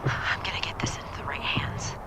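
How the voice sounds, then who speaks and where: A woman playing a weary, urgent surgeon in an audio drama, with a breathy, deliberate, probing delivery. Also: whispered, natural voice. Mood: faint, faltering.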